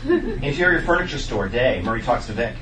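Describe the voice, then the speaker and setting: Mid-pitched man reading stage directions, echoey room. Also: lively intonation, brisk. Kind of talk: scripted narration. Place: table read in a room. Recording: noisy echoey room from a distance.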